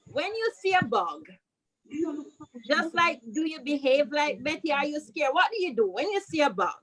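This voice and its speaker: Lady principal chatting w kids, highish voice that goes up and down a lot, keeps repeating questions. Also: very animated, moderate pace, a bit bright, rough recording. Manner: prompting, repeats questions and answers back. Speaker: school principal leading a virtual storytime. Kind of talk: question-and-answer chat with children.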